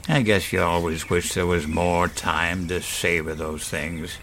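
Elderly grandfather, horror audio drama. Deepish, very slow, wistful musing with sighs, chuckles and quiet menace. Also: fairly even intonation, slight background noise. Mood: wistful, nostalgic. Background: music.

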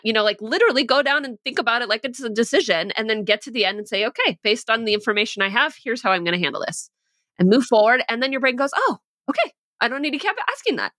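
Bright, sharp-voiced woman life coach, dry sound, animated and explanatory. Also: a little high, fast talker, no room sound. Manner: animated, explanatory, acts out inner questions. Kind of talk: coaching conversation.

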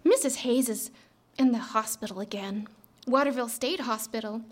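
An actress playing a gossipy, weary 1950s office secretary in a live radio drama on a theater stage. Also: female voice, on the high side, moderate pace, lightly acted. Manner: chatty, gossipy.

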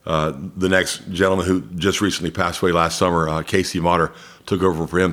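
A man's voice: sits low, fairly bright tone. A man in his fifties from Georgia with an American accent, chatting casually as an interview guest who announces sports. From Columbus, Georgia, United States. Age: 50s.

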